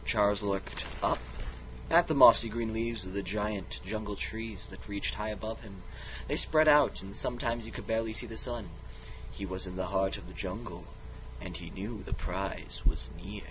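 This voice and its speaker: A man's voice: fairly low pitch, moderate pace, fairly bright tone, slight background noise, rough recording. A man giving a mock-dramatic storybook reading of a text game, often breaking into casual, self-interrupting asides. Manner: theatrical, comically self-interrupting. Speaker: reader and player of a text game.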